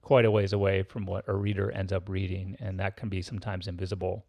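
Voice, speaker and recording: A man hosting a podcast interview, asking questions in an easygoing, somewhat halting way. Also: low-pitched, level tone, creaky, dry recording, lo-fi sound. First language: not French. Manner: easygoing, halting, friendly prompts. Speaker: podcast host. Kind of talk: interviewing a guest.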